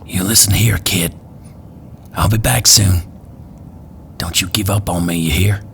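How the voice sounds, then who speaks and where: Gravelly low-voiced gruff hero, played by a man. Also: steady tone, unhurried, a bit bright, a little hiss or hum, full character voice. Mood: urgent, tender. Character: gruff man tenderly urging an injured friend to hold on. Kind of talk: audio drama acting.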